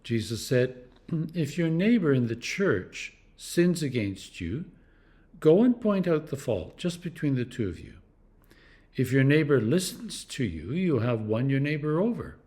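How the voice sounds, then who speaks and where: A clergyman reading scripture aloud in a deliberate, expressive way, with dramatic emphasis and pauses. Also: mid-range voice. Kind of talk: scripture reading, devotional narration.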